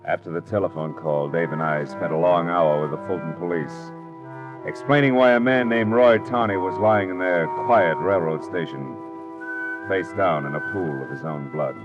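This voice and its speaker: Wry, protective tough-guy detective, played by a man, American, deep voice, clipped slangy delivery, dull vintage radio sound with music behind. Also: moderate pace, close to an everyday voice. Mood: weary, brooding. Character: hardboiled, world-weary male private detective, protective and wry. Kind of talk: 1940s radio drama acting and narration.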